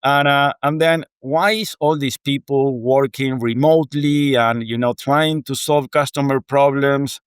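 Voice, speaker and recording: Argentine-accented man, smooth clear voice. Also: mid-range voice, fairly even intonation, unhurried, few pauses, no room sound, studio quality. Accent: Argentine Spanish. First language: Spanish. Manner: earnest, reflective, frequent "you know". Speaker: guest, water technology executive. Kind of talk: conversational interview.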